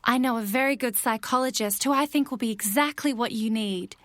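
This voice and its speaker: Caring female friend, played by a woman: encouraging, expressive, high voice, dry sound, animal fairytale audio play. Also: brisk, no echo, close to an everyday voice. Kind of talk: audio drama dialogue.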